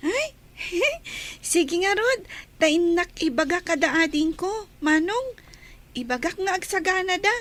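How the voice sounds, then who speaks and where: High-voiced, unhurried Ilocano little sister. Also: female voice, big pitch swings, a bit bright, heavily acted. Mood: cheerful, agreeable. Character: cheerful, devoted young schoolgirl, little sister. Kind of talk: Ilocano radio drama acting.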